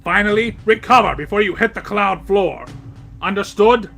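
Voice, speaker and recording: A man's voice: high voice, moderate pace, vocal fry, fairly bright tone, soft background music, heavily acted. A man voicing a stern, unfeeling male pegasus flight instructor who barks clipped orders in an audio drama. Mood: stern, commanding. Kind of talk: audio drama fanfic reading.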